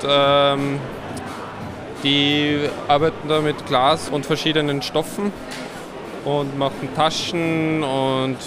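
A man describing a youth workshop in a relaxed, hesitant way with many fillers. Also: medium pitch. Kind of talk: interview answers. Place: busy indoor fair hall.

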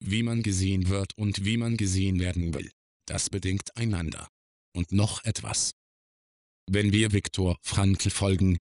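A man performing a reflective middle-aged man's literary radio-play monologue, philosophical and measured. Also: deep voice, no echo, clean studio sound. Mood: musing. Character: reflective middle-aged man musing on his own life.

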